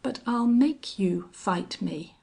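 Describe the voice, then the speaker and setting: A steely older gunfighter from a western audiobook, voiced by a woman. He is cold and contemptuous, taunting in a creaky, husky voice with deliberate menace. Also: medium pitch, exaggerated voice. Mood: cold challenge. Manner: strange monotone, taunting, cold. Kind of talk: audiobook character acting.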